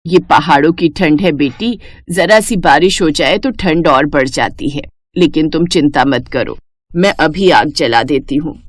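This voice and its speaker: A woman voicing a humble, caring forty-year-old village mother who speaks in a gentle, homely, respectful way in a cartoon story. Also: low voice, measured pace, dry recording, studio quality, voice pushed down. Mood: warm, humble.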